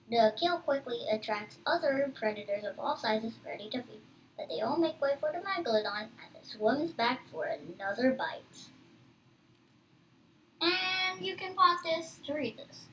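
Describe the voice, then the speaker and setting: A child reading a book aloud with casual asides, halting and stumbling over hard words. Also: high-pitched, pushed and bright, distant mic, a little hiss or hum, rough recording.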